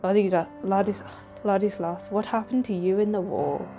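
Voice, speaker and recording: A woman's voice: mid-range voice, fairly even intonation, normal speed, warm tone. A woman streaming a game, reading its narration aloud in a storytelling way and dropping into offhand casual reactions. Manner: switches between archaic scripted narration and offhand reactions. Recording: faint game music behind. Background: game soundtrack.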